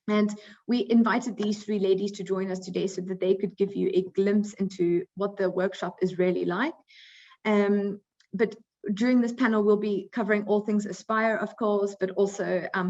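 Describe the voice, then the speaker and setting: A woman's voice: medium pitch, darkish tone. South African woman hosting a webinar. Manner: friendly, frequent um and uh fillers. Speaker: webinar host, recruiting coordinator. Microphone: video call. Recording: slightly dull video call audio.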